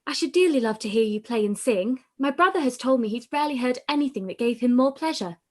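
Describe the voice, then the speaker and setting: A woman playing a shy, earnest young gentlewoman in a period radio play, speaking politely and modestly. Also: a little high, animated, fast. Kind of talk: live-read period radio play acting.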